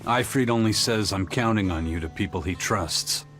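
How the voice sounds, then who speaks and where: An actor playing a stern male pirate captain in a game, speaking tersely and calmly. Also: on the low side, moderate pace, faint music behind, lightly acted.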